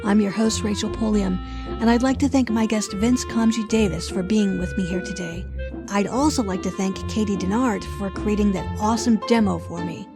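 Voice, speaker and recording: A woman's voice: medium pitch, fairly even intonation, moderate pace, over music. A woman reading a scripted outro as a podcast host.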